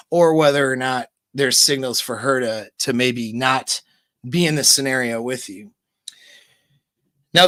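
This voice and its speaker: A man giving relaxed, chatty dating-coach advice on a livestream, speaking close to a microphone. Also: no room sound. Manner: demonstrates voice pitch changes, relaxed and chatty. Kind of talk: casual livestream coaching talk.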